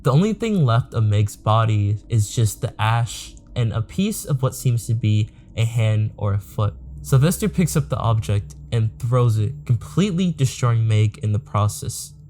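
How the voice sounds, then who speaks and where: A man giving a measured, matter-of-fact scripted explainer narration as host of a video series. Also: on the low side, expressive, mellow, slight background noise.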